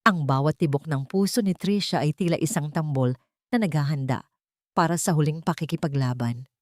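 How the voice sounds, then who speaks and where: A woman narrating a dramatic story in a steady, dramatic manner. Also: low voice, a little fast, dry recording, clean studio sound.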